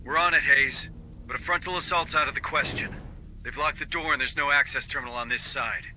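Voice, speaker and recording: An actor playing a tough, wry marine squad sergeant in a video game, talking tersely. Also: male voice, on the high side, brisk, pushed and bright. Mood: wry, focused. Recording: noisy game action behind.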